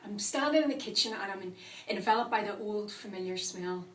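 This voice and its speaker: An actress performs as a woman carrying old trauma and a thirst for revenge. She tells her story as a dramatic first-person confession, weighing her phrases and leaving pauses. Her medium-pitched voice sits at a distance from the microphone in a reverberant room. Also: a bit bright, close to an everyday voice. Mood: tense, controlled. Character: vengeful, traumatised woman recounting a violent night. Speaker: actor performing a monologue.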